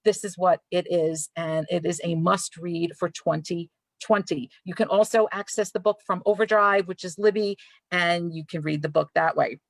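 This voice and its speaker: A woman's voice: fairly low pitch, fairly even intonation, normal speed, no echo. A woman from Brooklyn with a Brooklyn accent, hosting a live library author chat in an effusive, chatty way. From Brooklyn, New York. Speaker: librarian host.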